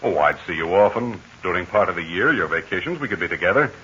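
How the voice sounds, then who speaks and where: An actor playing a calm, fatherly heroic aviator in a 1940s radio serial, speaking in measured, assured tones. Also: low-pitched. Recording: dull scratchy 1940 radio transcription.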